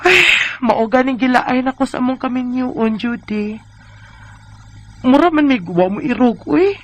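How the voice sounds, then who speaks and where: A woman's voice: sits high, a little slow, lo-fi sound. Weary Filipina wife, noisy recording, acted by a woman. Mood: plaintive. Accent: Filipino, Bisaya. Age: 30s. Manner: plaintive, sighing. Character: weary, discontented Filipina wife of about thirty. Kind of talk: Bisaya radio drama acting and letter narration.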